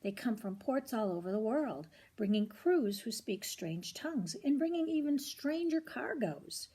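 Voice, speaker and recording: Husky older woman reading a children's story. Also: medium pitch. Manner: expressive, grandmotherly, commenting on the pictures. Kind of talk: read-aloud storytelling with short asides.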